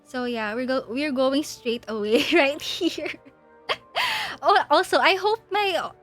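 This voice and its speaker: A young woman from Asia hosting a livestream, chatting casually in a halting, giggly way. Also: sits high, fairly bright tone, soft background music. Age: young adult. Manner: giggly, frequently laughing mid-sentence. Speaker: livestream host. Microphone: mic on a boom arm.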